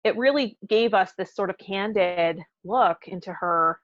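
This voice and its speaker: A woman co-hosting a podcast in casual conversation, speaking thoughtfully and haltingly as she searches for words. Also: medium pitch, dry recording, highs cut off. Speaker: podcast co-host.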